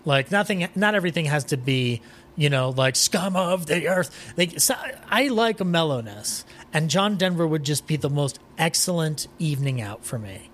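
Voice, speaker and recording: A man's voice: fairly high pitch, lively intonation, normal speed, a bit bright. Guy guesting on a podcast, rambly and keen, casual swearing, bit of room noise behind him. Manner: rambling, enthusiastic film and music fan, swears casually. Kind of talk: casual interview chat.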